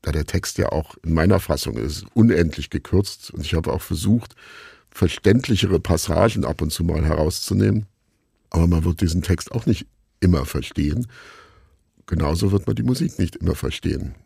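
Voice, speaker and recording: A man's voice: low voice, moderate pace, vocal fry, mellow, breathy edge, no room sound, clean studio sound. A man being interviewed as a radio play maker, thinking aloud in a reflective, discursive way.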